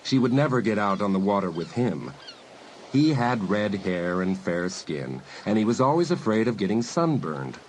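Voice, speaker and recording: A man narrating a dramatised audiobook in an expressive, scripted storytelling manner. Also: on the low side, moderate pace, slight background noise, poor audio.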